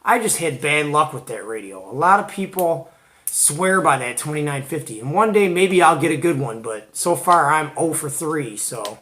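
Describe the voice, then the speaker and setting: A man from Georgia speaks in a voice pitched a bit above average. He hosts a show and tell in a casual, conversational style. His wording is informal and full of slang, as if chatting with fellow hobbyists. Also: expressive, moderate pace, pushed and bright. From Georgia, United States. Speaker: host of a CB radio hobby show.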